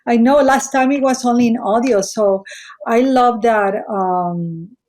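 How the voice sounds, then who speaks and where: A woman's voice: fairly high pitch, measured pace, a little mellow. Effusive Mexican-accented woman hosting a podcast. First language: Spanish. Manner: effusive, digressive. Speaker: podcast host. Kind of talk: casual, chatty podcast introduction.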